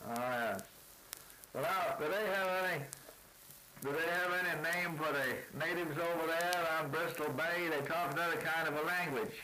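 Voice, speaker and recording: An older man working through words with a speaker of another language, repeating each one back slowly and asking plain questions. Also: on the high side, sharp tone, slight background noise, poor audio. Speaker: interviewer learning native words. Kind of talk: word elicitation session.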